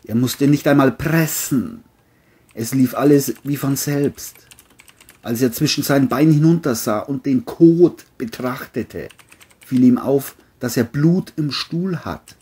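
Mellow, husky male narrator. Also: medium pitch, a little slow. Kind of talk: scripted audiobook narration.

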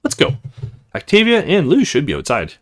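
A man's voice: fairly high pitch, wildly swooping pitch, normal speed, a bit bright. A man voicing a stern, teasing female officer in a visual novel, matter-of-fact and commanding. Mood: teasing, brisk. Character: stern, teasing military woman officer in charge.

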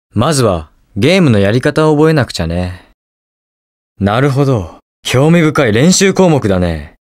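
A man's voice: mid-range voice, dry recording, studio quality, close to an everyday voice. A young man playing a cool, inquisitive detective superhero in a video game, with precise, teasing delivery. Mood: composed, curious. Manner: calm, precise, smug and curious delivery. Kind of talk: Japanese video game voice acting, short lines.

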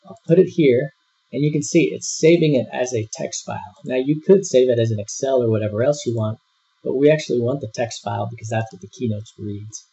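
A man giving a screen-recorded software tutorial, walking through each step in a relaxed way with frequent fillers. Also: mid-range voice, a little fast, breathy edge. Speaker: software instructor. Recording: dull lo-fi voice-over.

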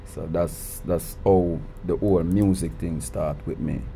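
Jamaican man, thirties, deep warm voice, restarts his sentences, casual interview talk. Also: measured pace, vocal fry, a little hiss or hum. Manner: relaxed, repeats words when starting sentences. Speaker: guest artist. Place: recording studio.

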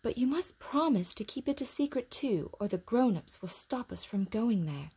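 Storybook role: a bossy little girl, as a woman puts her on, brisk and husky. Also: high voice, lively intonation, a little mellow, pitched above the performer's own voice, full character voice. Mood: confiding. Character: young girl, blunt and bossy.

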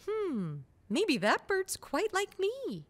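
A small young bear cub from a storybook, played by a woman reader. The voice is high and very lively in pitch. The cub is earnest and full of wonder, timid yet eager. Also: slow pace, full character voice. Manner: wide-eyed, wondering, earnest.

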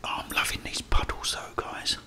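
A man's voice: normal speed, pushed and bright. A middle-aged man with an English accent whispering ASMR commentary as he plays a game, muttering reactions and talking to the characters. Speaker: gaming ASMR host.

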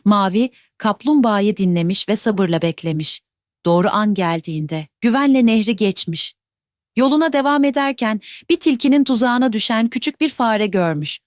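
A woman telling a children's bedtime fairy tale in a gentle, even storytelling voice. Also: medium pitch, normal speed, no echo, studio quality.

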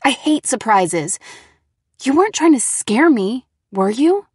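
A woman's voice: a little high, very animated, measured pace, a little mellow, slightly rough, dry recording, studio quality, exaggerated voice. A woman voicing an easily frightened, indignant teenage girl in emphatic audiobook dialogue. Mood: annoyed.

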